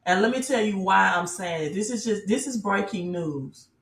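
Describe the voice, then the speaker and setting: Female motivational business promoter, mid-pitched voice, emphatic and repetitive delivery, unscripted sales pitch with rhetorical questions, echoey room sound. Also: expressive, normal speed, creaky, fairly bright tone. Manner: emphatic, repeats key phrases, rhetorical questions. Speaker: business promoter and team leader giving an online presentation.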